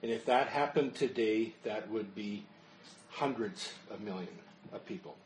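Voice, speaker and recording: A man's voice: fairly low pitch, a little slow, slight background noise, rough recording. A man, a pastor, teaching a Bible study in an earnest, explanatory way and answering questions while losing his voice.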